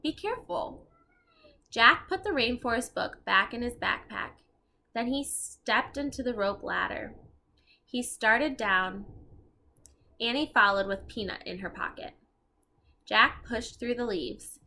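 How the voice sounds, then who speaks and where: A woman reading a children's storybook aloud, expressive and explaining things to young listeners as she goes. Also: on the high side, a little slow, creaky. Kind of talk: read-aloud narration with asides to young listeners.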